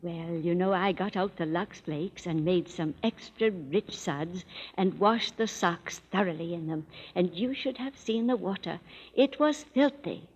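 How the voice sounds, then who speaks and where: A woman's voice: on the low side, a little slow, darkish tone, breathy edge. Give me a homely, chiding grandmother in a dull old radio recording. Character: fussy, cheerful grandmother. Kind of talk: 1930s radio ad skit.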